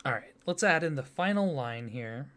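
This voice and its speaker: A man speaking softly and warmly in an ASMR roleplay as a friendly card designer, gently enthusiastic. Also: mid-range voice, animated, normal speed. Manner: soft-spoken, gently enthusiastic. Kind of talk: soft-spoken ASMR roleplay.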